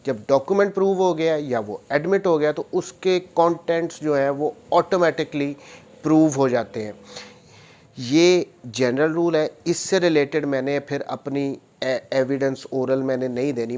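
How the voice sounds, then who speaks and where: A man's voice: mid-range voice, darkish tone. A man with a Pakistani accent giving an explanatory lecture, repeating and rephrasing his points and slipping English technical terms into his speech. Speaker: law lecturer, advocate. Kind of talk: explanatory lecture with worked examples.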